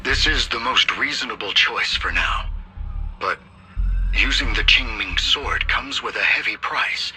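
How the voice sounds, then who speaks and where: An actor playing a firm, pragmatic city mayor in a video game, speaking formally and decisively. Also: male voice, mid-range voice, expressive, measured pace, pushed and bright, soft background music. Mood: grave.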